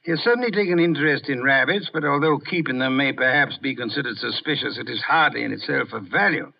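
An actor playing an irascible, erudite older detective in a 1950s radio drama, pompous and grumbling in ornate phrases. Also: male voice, medium pitch, fast, vocal fry. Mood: dry, lofty. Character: irascible, erudite, lazy genius private detective, older man. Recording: muffled vintage 1950s radio recording.

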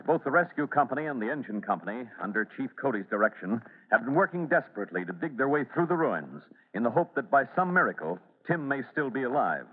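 Casting: male serial narrator, dramatic and emphatic, lively swooping delivery, old-time radio announcer sound on a narrow-band recording. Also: medium pitch.

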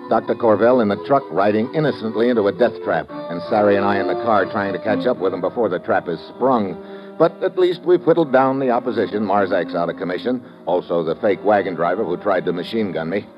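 A man's voice: sits low, dull audio, natural voice. An actor playing a tough, wisecracking American man on an assignment, in old-time radio adventure style with slangy delivery. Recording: noisy vintage radio recording.

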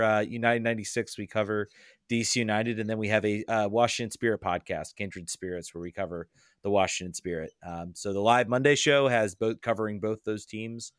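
A man's voice: lowish voice, smooth, no room sound, studio quality. A man chatting casually as a guest on a fan podcast, rambling and laughing easily.

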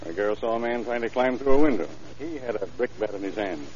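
An actor playing a matter-of-fact male police detective in an old radio drama, laying out facts in a dry, deliberate way. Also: medium pitch, lively intonation, darkish tone, close to an everyday voice. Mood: businesslike. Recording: muffled, hissy old radio recording.

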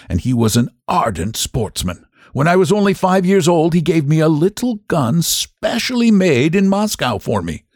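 Guy doing a smooth menacing hunter general, polite and slow, high and swoopy, dry close sound. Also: no room sound. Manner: courteous, slow and deliberate. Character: suave aristocratic male general, a hunter, menacing.

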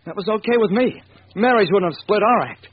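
An actor playing an earnest, excitable man in a 1940s radio crime drama, speaking emphatically on a dull, hissy recording. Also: sits high, fairly quick, husky, dry recording.

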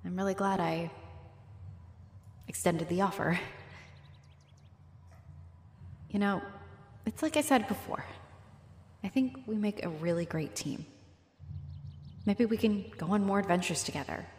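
A woman playing a friendly, flirtatious halfling orc adventurer in a roleplay audio, speaking straight to the listener in a warm, playful, expressive way. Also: mid-range voice, normal speed, pauses often, breathy edge. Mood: tender, shy.

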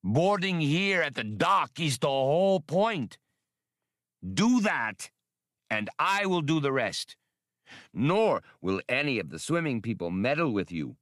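A man's voice: a little high, very animated, takes it slowly, fairly bright tone, no echo, clean studio sound, voice pushed up, exaggerated voice. A man voicing a huge, battle-scarred old creature in a storybook, proud and defiant, speaking formally with a whistling voice. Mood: defiant, resolute. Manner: solemn, formal, declamatory.

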